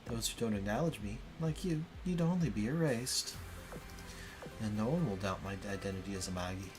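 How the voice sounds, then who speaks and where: A man's voice: fairly low pitch, expressive, moderate pace, pitched below the performer's own voice, natural voice, rough recording. A man voicing an obsessed, scornful female impostor villain from a visual novel in a haughty, theatrical way. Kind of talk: dramatic visual novel read-aloud. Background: game music.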